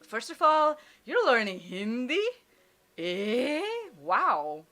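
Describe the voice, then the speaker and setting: A young woman from India, chatting casually as a streamer giving advice, self-deprecating and quick to laugh. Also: on the high side, very animated, sharp tone. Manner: chatty, self-deprecating, laughs often, switches into Hindi and Japanese.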